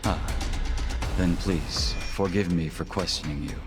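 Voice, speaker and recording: A man's voice: sits low, unhurried, music in the background. An actor playing a loyal, composed but anguished male lieutenant in a video game dub, formal and restrained. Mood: deferential pleading.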